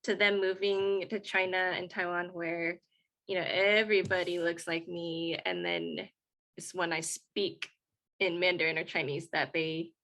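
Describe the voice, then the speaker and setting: A woman's voice: on the low side, a little slow, a bit bright. A woman from the United States speaking on a panel, earnest and reflective, with frequent fillers as she thinks aloud. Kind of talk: panel discussion.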